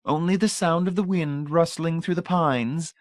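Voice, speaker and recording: A woman's voice: sits low, normal speed, dry recording, clean studio sound, pitched above the performer's own voice, natural voice. A man voicing a gushing, sweetly menacing matronly older woman who talks in a syrupy, matter-of-fact way.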